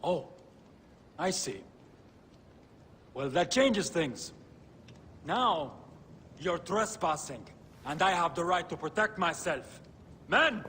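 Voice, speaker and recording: An actor playing a smooth, menacing merchant villain in a video game, falsely polite and condescending. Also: male voice, on the high side, animated, slow pace, pauses often, sharp tone, long reverb tail, slight background noise, heavily acted. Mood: threatening. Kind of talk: video game character acting.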